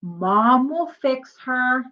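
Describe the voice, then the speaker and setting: A woman voicing an excitable, kind-hearted young schoolboy in a children's storybook, with bright, eager delivery. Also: high-pitched, very slow, exaggerated voice. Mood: reassuring. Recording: dull lo-fi sound.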